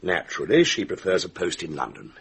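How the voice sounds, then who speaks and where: Deep, husky, eager gentleman. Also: big pitch swings, full character voice. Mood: courteous. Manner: brisk, well-mannered, forthcoming. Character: eager, breezy, take-charge gentleman helping an investigation. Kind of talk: radio mystery drama acting.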